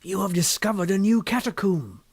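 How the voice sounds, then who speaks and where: Man voicing a young English gentleman scholar, eager, hot-tempered, emphatic, audiobook dialogue, slightly husky, high pitch. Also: expressive, moderate pace, no room sound. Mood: earnest, insistent. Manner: emphatic, formal gentlemanly speech.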